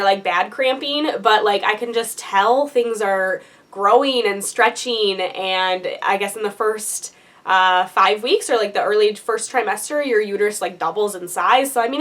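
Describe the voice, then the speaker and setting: Bright, chatty young woman vlogger. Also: medium pitch, normal speed. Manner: chatty, many fillers and "like"s. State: congested. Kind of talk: casual vlog update.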